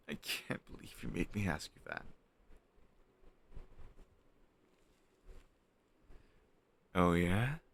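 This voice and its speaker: A young man playing a shy, lovestruck best friend in an intimate romantic audio roleplay, speaking in a halting, tender and teasing way. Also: low voice, creaky, slight background noise, exaggerated voice. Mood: playful, affectionate.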